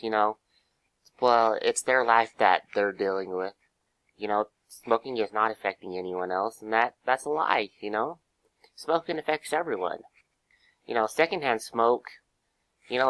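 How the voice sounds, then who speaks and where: A hoarse young man with a cold, giving a casual class presentation, full of fillers and pauses. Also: on the low side, fairly bright tone, dry recording, poor audio. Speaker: student presenter.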